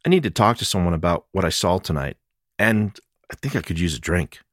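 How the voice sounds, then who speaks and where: A man's voice: sits low, expressive, a little fast, no room sound. Male narrator as sardonic, tired amateur sleuth in a mystery audiobook, casual delivery, dry recording. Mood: hesitant request. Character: wry, weary male amateur investigator in a mystery.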